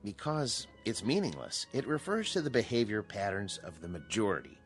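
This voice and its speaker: Low-voiced, calm psychiatrist. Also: male voice, faint music behind. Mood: measured, explaining. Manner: measured, explanatory. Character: calm, authoritative male psychiatrist at a mental hospital. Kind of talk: radio drama acting.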